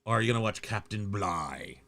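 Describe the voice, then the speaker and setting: A man bantering as co-host of a casual livestream. His pitch moves around a lot, and he teases and jokes in a goofy, playful manner. The sound is close and dry. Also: expressive, a little fast, vocal fry, sharp tone, dry recording. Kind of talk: casual joking livestream chat.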